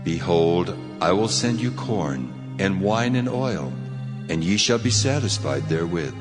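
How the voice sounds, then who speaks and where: An actor playing the Lord God, solemn and almighty, in a grave, commanding scripture drama delivery. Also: male voice, low-pitched, lively intonation, a little slow. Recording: long cavernous reverb over music.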